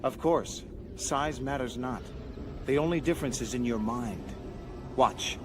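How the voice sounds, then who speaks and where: An actor playing a calm, self-assured warrior mystic in a sci-fi audio drama, unhurried and solemn. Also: male voice, medium pitch, over music, lightly acted.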